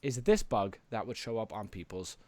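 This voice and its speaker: An American man co-hosting a podcast, chatting casually and haltingly, often repeating himself. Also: on the low side, a little mellow, no echo. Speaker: podcast co-host. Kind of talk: casual podcast chat about news and games.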